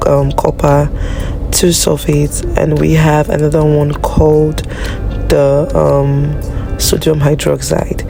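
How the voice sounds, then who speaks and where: A biology teacher, a woman with a Nigerian accent, hoarse and raspy from a flu, narrating a lab practical in a chatty, apologetic way. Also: low-pitched. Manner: chatty and apologetic, with many fillers. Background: background music.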